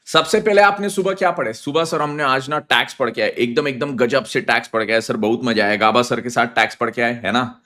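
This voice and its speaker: An accounting teacher with a hoarse, sore throat, hyping his students in a live online class and mixing English into his Hindi. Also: medium pitch, brisk, few pauses, sharp tone. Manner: hypes students with slang, mixes English into Hindi. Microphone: phone on mobile data, live stream.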